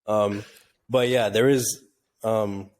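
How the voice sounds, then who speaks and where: A man's voice: lowish voice, slow. A man from Tanzania co-hosting a podcast, chatting casually and rambling with lots of "like". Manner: relaxed and rambling, heavy use of "like". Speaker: podcast co-host.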